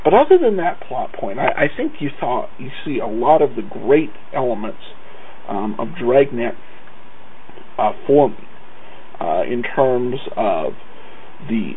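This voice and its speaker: A man giving casual podcast commentary, halting and often restarting his phrases. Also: mid-range voice, sing-song. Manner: halting, repeats phrase starts. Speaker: podcast host. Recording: muffled lo-fi podcast, faint noise.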